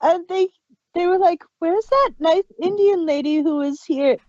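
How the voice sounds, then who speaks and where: A woman's voice: very high-pitched, measured pace, a little mellow, no room sound. A woman hosting a show, chatting casually and telling anecdotes on a dull, lo-fi recording. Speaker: host of an audio drama review.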